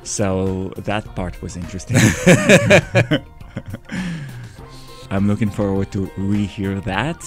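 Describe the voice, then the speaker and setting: Israeli-accented man, low voice, chatty host. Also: wildly swooping pitch, slow pace, long pauses, faint music behind. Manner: playful, chatty delivery with fillers. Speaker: podcast co-host. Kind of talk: casual podcast chat.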